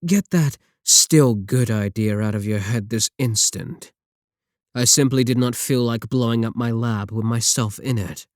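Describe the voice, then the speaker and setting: A man's voice: medium pitch, unhurried, dry recording, studio quality, lightly acted. A man playing a sneering villain scientist. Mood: mocking. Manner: taunting, sarcastic, condescending. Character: mocking male villain scientist, arrogant. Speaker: voice actor in audio roleplay. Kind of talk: ASMR roleplay audio.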